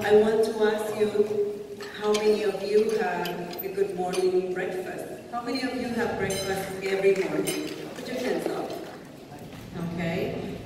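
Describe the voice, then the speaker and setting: A woman physician in her forties from Puerto Rico, a native Spanish speaker with a Puerto Rican accent, giving a conference lecture in quick, informal English with non-native phrasing. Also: medium pitch, noisy background. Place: large conference hall. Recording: echoey hall from far off.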